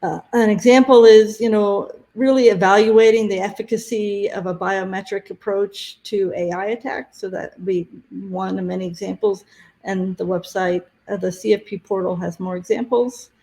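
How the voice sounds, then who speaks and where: A woman presenting conference deadlines and tracks in a webinar, talking haltingly with many fillers, her voice going hoarse. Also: mid-range voice, fairly even intonation, warm tone. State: losing her voice.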